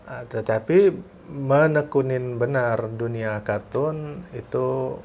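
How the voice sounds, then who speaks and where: A man from Jambi, a cartoonist guest, answering interview questions in a relaxed, halting way in his studio. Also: medium pitch, creaky, slight background noise. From Jambi, Indonesia.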